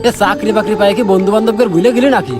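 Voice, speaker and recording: A man's voice: sits high, very fast, loud music behind the voice, natural voice. A young man with a rural Bangladeshi accent playing a brash, shifty layabout in an amateur drama. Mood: cocky, taunting. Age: young adult. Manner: cocky, chummy. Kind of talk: amateur Bengali short drama acting.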